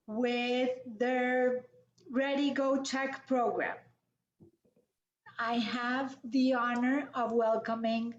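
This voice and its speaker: A middle-aged woman from Colombia, hosting an interview over a video call in a measured, welcoming way. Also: a little high. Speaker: host. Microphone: video call webcam. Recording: echoey, dull webcam audio.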